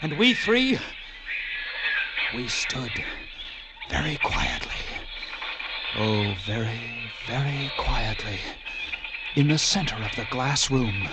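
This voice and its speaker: An actor playing a lighthouse keeper who narrates his ordeal in hushed, dread-filled tones, in 1940s radio acting style. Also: mid-range voice, low-quality recording. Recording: old radio recording with music.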